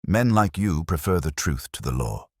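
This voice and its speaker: A man voicing a weary, composed widowed woman in a narrated mystery story, speaking in a quiet, deliberate, resigned way. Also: sits low, expressive, husky, no room sound, studio quality. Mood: knowing.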